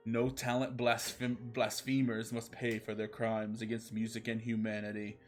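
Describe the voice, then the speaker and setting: A man's voice: fairly low pitch, monotone, moderate pace, soft background music, lightly acted. A man voicing a grim, vengeful cartoon cat action hero with stoic, melodramatic one-liners in a comic story reading. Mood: grim, righteous disgust. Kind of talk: comic dramatic fan fiction reading.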